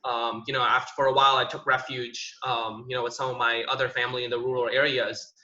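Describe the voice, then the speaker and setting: A young man from Indonesia on an online panel, telling his personal story in a relaxed, conversational way full of "you know" and "um". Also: mid-range voice, fast talker. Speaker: panel speaker, tech professional. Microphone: video call. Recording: echoey room, dull lo-fi audio.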